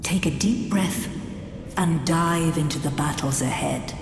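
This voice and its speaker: Slow, portentous fantasy game narrator. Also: female voice, sits low. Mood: foreboding, solemn. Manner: measured, portentous storytelling. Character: grave, dramatic female storyteller of fantasy adventure quests. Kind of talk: dramatic scripted fantasy game cutscene narration. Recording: roomy, long reverb, music bed. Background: clear background music.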